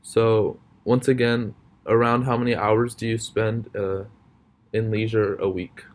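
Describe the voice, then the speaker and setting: A man's voice: on the low side, flat delivery, a little slow, a little mellow. A young man interviewing someone, asking questions hesitantly with lots of ums.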